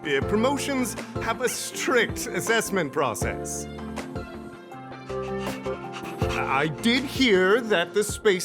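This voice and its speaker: A pompous male moralist from a video game, with a slightly high voice and very animated intonation. He speaks in a grandiose, theatrical way, posing as a pillar of virtue. Music plays clearly behind him. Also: full character voice. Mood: flustered, stammering. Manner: theatrical, self-important, grandiose. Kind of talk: dramatic video game voice acting.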